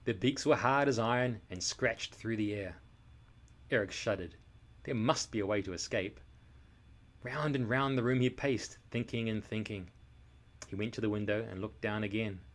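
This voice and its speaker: Expressive man reading a children's storybook. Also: fairly low pitch, moderate pace. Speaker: storybook reader. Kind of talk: dramatic scripted narration for children.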